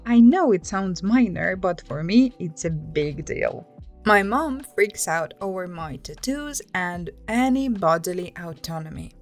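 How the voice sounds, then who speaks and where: A woman narrating written personal stories aloud as a host, in a scripted storytelling style. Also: fairly low pitch.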